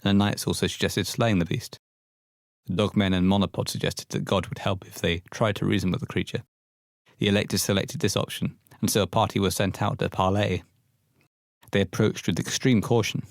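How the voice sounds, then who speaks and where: Deep-voiced man narrating, very dry sound. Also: flat delivery, brisk, creaky, no echo. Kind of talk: scripted horror story narration.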